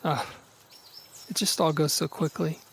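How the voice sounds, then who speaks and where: A man's voice: fairly high pitch, fairly even intonation, measured pace, gravelly, fairly bright tone, breathy edge, exaggerated voice. A man acting a reassuring, reflective and sentimental brother in a naturalistic audio drama.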